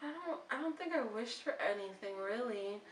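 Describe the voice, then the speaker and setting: A woman's voice: mid-range voice, normal speed. A woman in her thirties hosting a casual interview show, chatty and upbeat. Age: 30s. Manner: upbeat, chatty, lively bursts of excitement. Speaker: host. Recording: echoey room from far off, faint noise.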